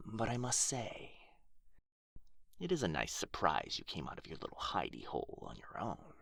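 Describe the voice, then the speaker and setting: A man playing an irritable, proud dragon guarding his hoard in an ASMR fantasy roleplay, with a theatrical, haughty, breathy delivery. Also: lowish voice, sharp tone, dry recording, studio quality, heavily acted. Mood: haughty, calmer.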